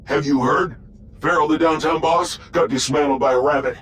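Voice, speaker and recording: A man's voice: a little high, fairly even intonation, moderate pace, noisy background, close to an everyday voice. A man playing a gossipy, nervous henchman guard in a video game. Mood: uneasy. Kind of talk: video game cutscene acting. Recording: game music behind the voice.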